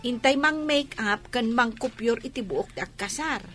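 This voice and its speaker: A woman playing a lively, encouraging young female cousin in a comedy radio drama, brisk and coaxing. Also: a little high, music in the background, lightly acted. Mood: coaxing, upbeat.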